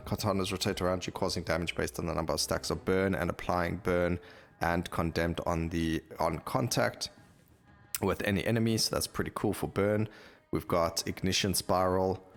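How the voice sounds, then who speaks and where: A man's voice: deep voice, vocal fry. A man giving casual gameplay commentary as a gaming video host, thinking aloud as he reads out stats on screen.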